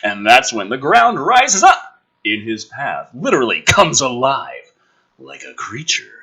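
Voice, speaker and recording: A man doing a dramatic script reading with sarcastic, sweary commentary, swinging between hushed narration and exasperated ranting. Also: mid-range voice, very animated, unhurried, husky. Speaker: host of a gaming and commentary channel.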